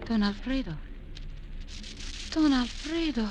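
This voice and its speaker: A woman's voice: sits high, lively intonation, slow. An actress playing an anxious, indignant young Latin American woman in 1940s radio drama. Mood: urgent, frightened. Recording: noisy vintage 1940s radio broadcast, clicks.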